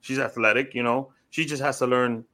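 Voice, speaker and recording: A man's voice: mid-range voice, fairly even intonation, brisk, sharp tone. A man hosting a podcast interview, enthusiastic and upbeat, often affirming the guest. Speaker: podcast host. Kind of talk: casual interview chat.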